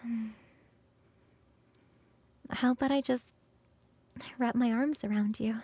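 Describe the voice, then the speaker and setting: A woman voicing a playful, hungry and affectionate succubus roommate in an ASMR roleplay, coaxing and teasing with sighs and soft, breathy turns. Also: on the high side, fairly even intonation, no echo. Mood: soft, intimate. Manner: coaxing, sighing, drawn-out savouring, shifting into soft whispers.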